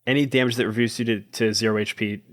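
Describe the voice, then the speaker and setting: A man's voice: on the low side, fairly even intonation, fast, a bit bright. A man speaking casually as a guest player, narrating his moves and chatting about a tabletop roleplaying game. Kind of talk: casual tabletop play and chat.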